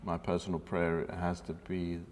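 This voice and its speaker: A man speaking haltingly in a casual church panel discussion, with many fillers. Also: low voice, completely flat delivery, a little mellow, a little hiss or hum. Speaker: panel member. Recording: long reverb in a hall.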